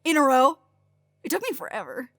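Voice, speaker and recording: A woman's voice: sits high, big pitch swings, fairly quick, fairly bright tone, dry recording, exaggerated voice. A woman playing a brash, chatty, sarcastic agent with a blunt way of talking in an audio drama. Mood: boastful. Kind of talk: naturalistic audio drama dialogue.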